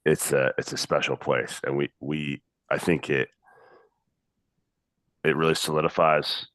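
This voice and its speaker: An American man talking casually on a video call about his distillery, relaxed and halting with many fillers. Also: very deep voice, no echo. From United States. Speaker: distillery representative.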